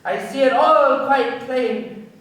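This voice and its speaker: A man's voice: very high voice, slow pace, a bit bright, full character voice. Actor as frightened Frenchman in an echoey room. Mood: desperate, pleading. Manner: formal, pleading, protesting. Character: flustered, frightened Frenchman, cornered pearl smuggler. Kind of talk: radio play acting. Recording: echoey room, far from mic.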